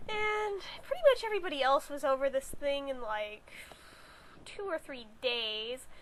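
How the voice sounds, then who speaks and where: Stuffy, hoarse young woman vlogger. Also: high-pitched, unhurried, a little hiss or hum, rough recording. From California, USA. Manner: chatty, rambling, wry asides. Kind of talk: casual chat to camera. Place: bedroom.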